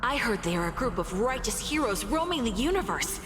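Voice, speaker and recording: A woman's voice: on the high side, sing-song, a little fast. A woman voicing a childlike, cheerful, eerily innocent vigilante youngster in a game. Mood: curious, playful. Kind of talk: animated game voice acting. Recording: long hall reverb, music behind.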